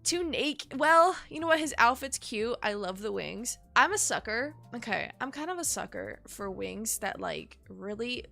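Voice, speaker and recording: Woman streamer, under the weather and nasal, rambling and cursing casually, bright voice with some vocal fry. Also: on the high side, sing-song, a little slow, hardly any pauses, faint music behind. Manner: rambling, swears casually, self-deprecating. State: sick, nasal and sniffly. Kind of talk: casual live-stream chat.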